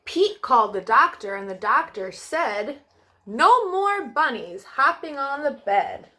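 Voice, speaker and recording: A woman's voice: sits high, sing-song, slow, sharp tone, a little hiss or hum. A woman hosting a children's storytime, reading aloud and addressing young listeners in a sing-song way.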